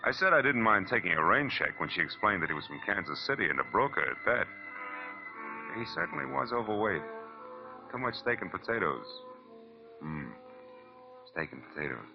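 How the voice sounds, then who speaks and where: A hard-boiled American detective narrating a vintage radio serial. His voice is very low, with a wry, clipped, slangy delivery. The recording is dull and old, with music underneath. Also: very animated, normal speed, creaky, pauses often. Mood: wry, rueful. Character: wry, world-weary male private detective. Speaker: lead actor and narrator of a radio detective series. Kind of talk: 1940s radio acting, hard-boiled first-person narration. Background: dramatic radio music.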